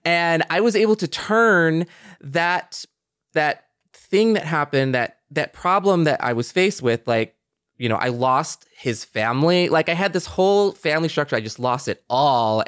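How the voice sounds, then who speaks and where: A man's voice: fairly high pitch, expressive, moderate pace, a bit bright, no room sound, clean studio sound. A man co-hosting a podcast in a casual, reflective chat, talking candidly and conversationally. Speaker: podcast co-host.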